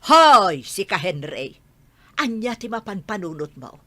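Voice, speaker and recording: Sharp, scolding older Ilocano mother played by a woman. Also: medium pitch, big pitch swings, measured pace, heavily acted. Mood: indignant scolding. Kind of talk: radio drama acting.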